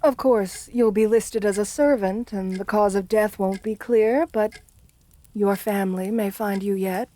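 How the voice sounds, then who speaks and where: A woman playing an assertive, seductive and menacing aristocratic countess in an ASMR roleplay, speaking softly with coaxing, condescending endearments. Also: medium pitch, fairly even intonation, a little slow, close to an everyday voice. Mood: calm menace.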